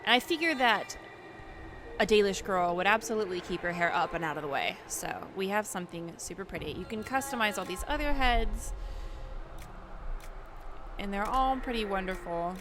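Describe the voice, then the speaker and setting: A woman's voice: medium pitch, expressive, normal speed, background noise. A woman hosting a gaming video, giving casual, chatty play commentary as she thinks aloud.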